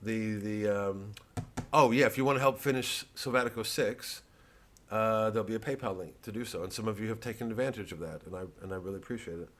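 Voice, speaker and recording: Low-voiced man rambling haltingly to his audience. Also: a bit bright, a little hiss or hum. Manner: halting, digressive, self-deprecating. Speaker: comedian. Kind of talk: rambling casual monologue to an online audience.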